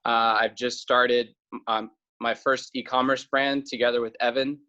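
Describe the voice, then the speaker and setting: A man from South Carolina answers as an interview guest. His pitch is average and moves very little, and he is slightly hesitant. The recording sounds dull. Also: level tone, unhurried, pushed and bright. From South Carolina, United States. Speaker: interview guest, company founder.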